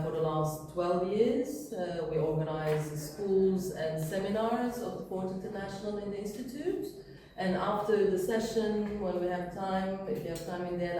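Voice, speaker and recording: A woman whose first language is Persian speaks informally to a workshop group, relaxed and full of fillers. Her voice is mellow. It is caught from a distance in a very echoey room. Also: mid-range voice, slight background noise. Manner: relaxed, explains with many fillers.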